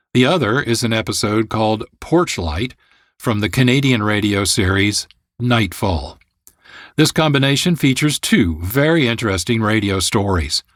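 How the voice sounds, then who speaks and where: A man reading scripted narration as a radio host in a measured, formal manner. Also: medium pitch. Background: faint music.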